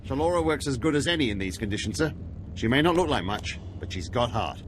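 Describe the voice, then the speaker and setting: A man's voice: medium pitch, sing-song, quick pace, a little hiss or hum, lightly acted, rough recording. A male actor playing a loyal, easygoing military engineer in a video game, plainspoken and respectful. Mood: fond, admiring.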